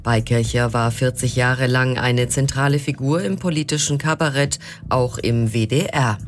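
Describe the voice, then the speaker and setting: Guy reading radio news, standard german, normal mid pitch, bit of music under it. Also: moderate pace.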